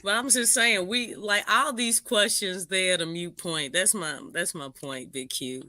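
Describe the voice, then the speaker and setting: A woman's voice: lowish voice, measured pace, a crowd in the background. A woman with a New Orleans accent co-hosting a casual sports podcast, teasing and laughing often. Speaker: podcast co-host.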